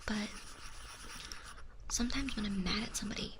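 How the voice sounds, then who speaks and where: A young woman from the United States whispering gently into a close microphone as an ASMR streamer, chatting with viewers with small laughs and restarts. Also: mid-range voice, normal speed, background noise. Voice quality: whispery, soft and breathy. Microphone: close sensitive ASMR microphone.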